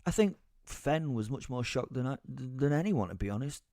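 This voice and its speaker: A man's voice: mid-range voice, expressive, moderate pace, mellow, no room sound, studio quality, natural voice. A man playing a brooding, exasperated werewolf who tells his own story as theatrical first-person audio drama narration. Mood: bemused. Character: brooding, foul-mouthed male werewolf, seething with helpless rage.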